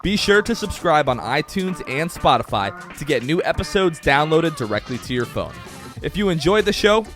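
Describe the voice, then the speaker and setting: Lively higher-pitched man over music. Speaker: podcast outro announcer. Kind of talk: scripted promotional outro.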